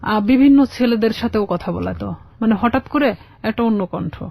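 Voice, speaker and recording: A woman's voice: fairly high pitch, normal speed, vocal fry, darkish tone, dry recording. A woman telling her personal story conversationally as a radio guest, often quoting both sides of conversations. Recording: slightly dull lo-fi radio audio.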